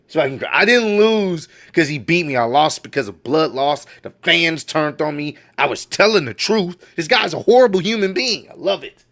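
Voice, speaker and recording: A man's voice: on the high side, lively intonation, moderate pace, fairly bright tone. A man giving casual reaction commentary on wrestling news, laid-back and admiring, often repeating himself.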